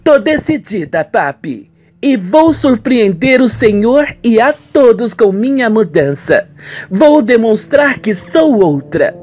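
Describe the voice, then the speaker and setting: A woman playing a headstrong, scheming young daughter in an amateur Brazilian radio soap, with emphatic, drawn-out phrasing. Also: on the high side, sing-song, darkish tone, heavily acted. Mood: determined.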